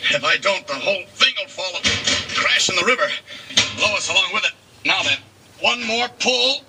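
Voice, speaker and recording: An actor playing a strong heroic man in a 1940s radio drama, talking to himself steadily and resolutely. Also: high voice. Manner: steady, resolute self-talk. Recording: distant noisy old radio recording.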